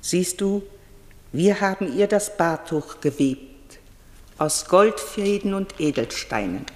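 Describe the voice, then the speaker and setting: An aged nun in a radio drama, devout and mystical. She speaks very slowly and with solemn reverence. Her voice rings out in a long, cavernous reverb. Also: lowish voice, expressive, a little mellow. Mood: reverent. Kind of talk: German radio play acting.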